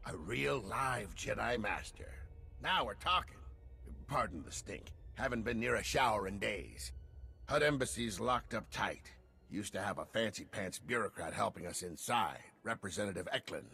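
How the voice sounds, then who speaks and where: A gruff veteran security chief in a sci-fi game. He is blunt and wry, with a gravelly edge and very animated pitch. Faint music runs underneath. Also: moderate pace, heavily acted. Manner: blunt, wry, informal briefing.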